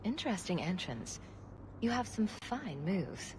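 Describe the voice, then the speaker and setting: A woman's voice: medium pitch, sing-song, a little slow, background noise. An actress voicing a witty, sarcastic female rogue hero in a video game, dry and playful in delivery. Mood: wry. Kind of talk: video game character acting.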